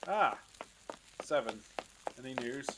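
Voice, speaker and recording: A man acts a suspicious, practical captive who likes to take charge. His delivery is sarcastic and domineering at a middle pitch. It is an amateur audio drama with slight noise. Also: animated, slow pace. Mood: commanding. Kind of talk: fan audio drama acting.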